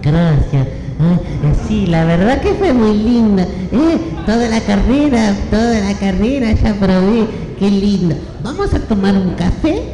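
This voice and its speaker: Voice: mid-range voice, expressive, a little slow, hardly any pauses, noisy background, natural voice. A performer playing an earnest, slightly odd young woman psychologist, hesitant, in improvised comic radio theatre. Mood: sweet, earnest. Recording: echoey room with loud music.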